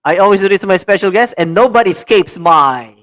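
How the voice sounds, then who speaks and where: A man's voice: sits high, animated, quick pace, a bit bright. A man hosting an online talk show, enthusiastic and repetitive, with many fillers. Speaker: show host.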